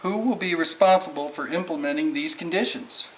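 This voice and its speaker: A man's voice: fairly high pitch, a little fast, lightly acted, rough recording. A man playing a skeptical, indignant environmental campaigner in a scripted amateur puppet show.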